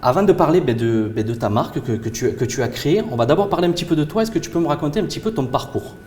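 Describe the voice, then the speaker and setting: A man's voice: fairly high pitch, expressive, very fast, darkish tone. A man hosting a local video series as a real estate adviser, introducing it enthusiastically and stumbling through his interview questions.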